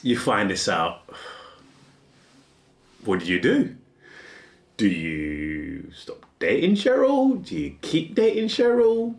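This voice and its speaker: Male vlogger, 40s, casual rambling delivery, very lively pitch, echoey room. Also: takes it slowly, long pauses. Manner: rambling, restarts often, laughs at himself. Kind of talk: casual musing monologue.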